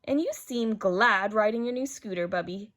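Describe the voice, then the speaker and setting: A woman voicing a young granddaughter in a children's storybook, expressive and questioning. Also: medium pitch, normal speed, natural voice. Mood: warm. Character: doubtful young granddaughter who questions and complains.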